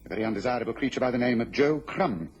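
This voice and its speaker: An actor playing a keen, self-assured Victorian gentleman detective in a radio drama, brisk and emphatic, with a British accent and a husky voice. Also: medium pitch, muffled recording, lightly acted. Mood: pleased, assured.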